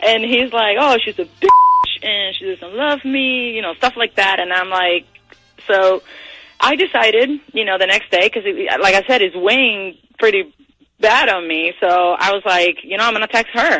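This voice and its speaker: A woman's voice: mid-range voice, bright, forceful tone, rough recording. A young woman calling a radio show, telling a personal story in a casual, hesitant, sighing way.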